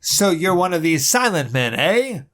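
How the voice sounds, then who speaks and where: A man voicing a calm, confident male superhero in a comic dub, steady and lecturing. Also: high-pitched, unhurried, heavily acted. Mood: stern.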